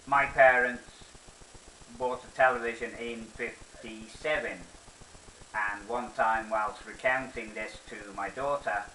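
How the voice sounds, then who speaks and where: An older man reflects nostalgically in a measured, slow delivery. His voice has a bright, firm edge. He sounds set back from the microphone in a roomy, echoing space. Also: mid-range voice, fairly even intonation. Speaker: presenter. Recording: roomy echo, distant mic, faint hiss.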